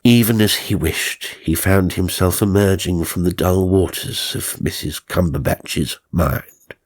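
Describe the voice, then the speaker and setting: A man narrating a classic ghost story in a measured, dramatic manner. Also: sits low.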